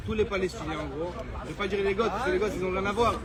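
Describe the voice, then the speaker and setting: A man's voice: high voice, very fast. A man from France and Israel answering a street interview in a blunt, emphatic, repetitive way. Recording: loud crowd and street noise.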